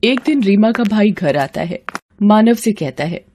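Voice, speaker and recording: A woman's voice: mid-range voice, lively intonation, measured pace, dark tone, slight background noise. A woman storyteller reading scripted narration for a moral tale.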